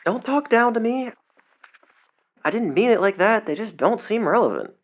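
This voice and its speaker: An actor playing a cocky, defensive seventeen-year-old amateur explorer in an audio drama, talking casually and bristling when challenged. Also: male voice, sits high, animated, moderate pace, lo-fi sound. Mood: coaxing, cocky. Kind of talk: audio drama phone dialogue.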